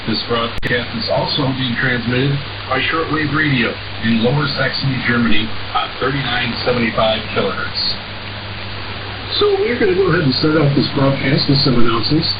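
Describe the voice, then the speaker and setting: A man hosting an informal shortwave radio broadcast, reading announcements in a halting way with frequent uhs and pauses. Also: medium pitch. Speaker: radio host. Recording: hissy, muffled shortwave radio reception.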